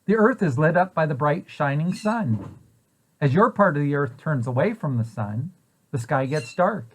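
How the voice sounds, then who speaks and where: A man reads a picture book aloud for young children in a lively, swooping voice that sits a little high. His delivery is that of a storyteller, setting out each question and then its answer clearly. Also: moderate pace, low-quality recording. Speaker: preschool site director reading aloud.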